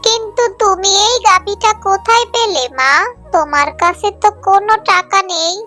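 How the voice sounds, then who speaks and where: An eager, sulky little cartoon fox girl, with an extremely high and piercing voice. She sounds childish and whiny over faint music. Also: animated, normal speed, pushed and bright, exaggerated voice. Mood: excited. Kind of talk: cartoon voice acting.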